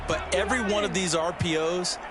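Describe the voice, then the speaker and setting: A man's voice: sits high, steady tone, brisk, background noise, close to an everyday voice. A man with an American accent playing an emphatic, conversational football color commentator in a video game. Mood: analytical, impressed. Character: male football color analyst, emphatic and opinionated.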